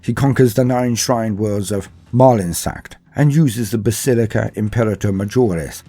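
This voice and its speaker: Low mellow guy narrating game lore, steady pace, faint music behind. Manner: measured, steady storytelling delivery. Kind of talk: scripted narration.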